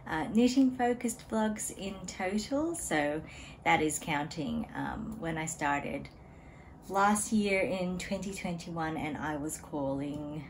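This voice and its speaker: Woman hosting a home knitting vlog; slow, easygoing delivery, slightly low voice. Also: slight background noise, low-quality recording. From Australia. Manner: relaxed, chatty, frequent ums and small laughs. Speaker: knitting vlogger. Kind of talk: casual chat to camera. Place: home room beside a desk.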